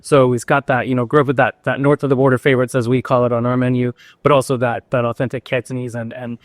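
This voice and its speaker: A man's voice: mid-range voice, flat delivery, quick pace, dry recording, studio quality. A man who works as bartender and co-owner, talking casually and eagerly in an interview at his restaurant.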